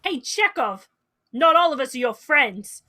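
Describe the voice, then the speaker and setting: A woman's voice: sits high, big pitch swings, a little slow, very noisy. An actress playing a cocky, opportunistic female starship guard in an audio drama, brash and pushy. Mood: defiant.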